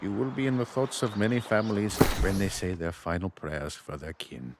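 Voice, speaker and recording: A man's voice: fairly low pitch, moderate pace, very noisy, lightly acted. A man voicing a solemn, mournful figure in a video game, speaking with portentous gravity. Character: solemn, mournful male collector of tears. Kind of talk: scripted video game quest dialogue.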